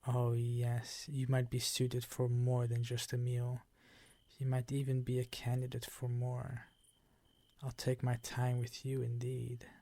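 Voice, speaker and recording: A man voicing a predatory, possessive vampire count in an ASMR roleplay, with formal, teasing, commanding phrasing and a breathy voice that often sinks toward a whisper. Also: mid-range voice, completely flat delivery, measured pace, mellow, no room sound, close to an everyday voice.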